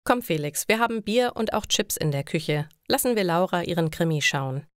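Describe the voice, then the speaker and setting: A woman's voice: lowish voice, animated, normal speed, dry recording, clean studio sound, natural voice. A woman voicing an awkward, accommodating man in a story for language learners. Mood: placating. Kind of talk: German learner story dialogue.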